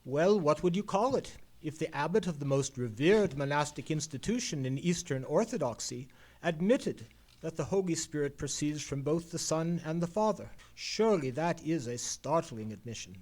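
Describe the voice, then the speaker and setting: A man's voice: fairly high pitch, expressive, normal speed, darkish tone. An actor playing an erudite, world-weary male detective in an old-time radio play, with a pedantic and eloquent manner. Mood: pedantic, absorbed. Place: live performance in a theatre.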